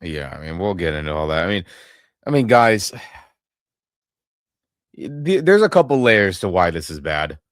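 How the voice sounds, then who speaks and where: A man's voice: low voice, measured pace, pauses often, no room sound, studio quality. Irritated man with a head cold. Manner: irritated, venting, animated. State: nasal from a cold. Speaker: podcast co-host. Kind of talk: casual sports reaction chat. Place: home in Los Angeles. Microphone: webcam setup.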